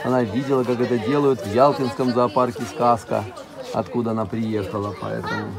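Casting: middle-aged man, park director showing visitors around, casual phrasing with pauses, recorded outdoors in a vehicle. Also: medium pitch, steady tone, music in the background, a crowd in the background. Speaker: zoo director giving an interview and tour. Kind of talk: casual guided tour talk. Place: open cab of a small vehicle driving through an outdoor park.

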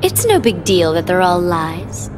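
A woman voicing a sadistic, sweetly cruel young villainess in a video game, taunting with drawn-out mock sympathy. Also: on the low side, big pitch swings, over music. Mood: gleefully taunting. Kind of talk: video game cutscene acting.

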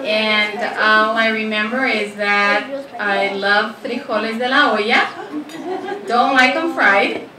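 A woman's voice: medium pitch, takes it slowly, a crowd in the background. A woman from Jalisco, Mexico, speaking plainly and unhurriedly into a handheld mic at a school event. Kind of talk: casual personal sharing. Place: crowded classroom, indoors. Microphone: handheld mic, recorded by a far camera. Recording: roomy classroom from a distance, children chattering.

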